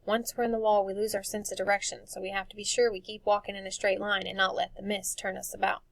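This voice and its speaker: A woman's voice: mid-range voice, flat delivery, quick pace, no room sound, natural voice. A woman voicing a brave, determined ten-year-old boy in a storybook, organized and urgent in manner.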